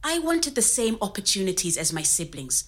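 A middle-aged Zimbabwean woman in an audio drama, speaking with her home accent. Her mid-pitched voice moves up and down a lot as she frankly tells the story of her youth with spirit. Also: big pitch swings, moderate pace, a bit bright. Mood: frank, reflective. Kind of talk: audio drama as oral history interview.

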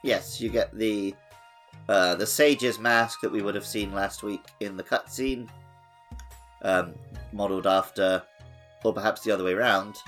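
A man with a fairly low voice co-hosts a casual gaming podcast. His delivery is chatty and joking. Quiet music plays behind him. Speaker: podcast co-host. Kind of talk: casual video game discussion.

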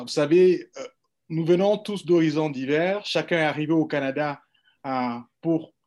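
Formal Cameroonian-born man, measured. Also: a little high, darkish tone. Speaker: panel guest. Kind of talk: radio panel discussion.

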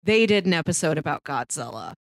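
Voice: medium pitch, very animated, fairly quick, creaky, dry recording. A podcast co-host in casual conversation about film.

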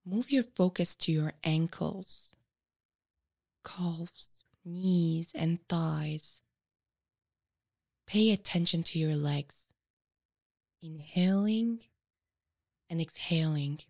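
A woman leading a guided meditation, giving measured instructions with frequent pauses. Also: fairly low pitch, gravelly, darkish tone.

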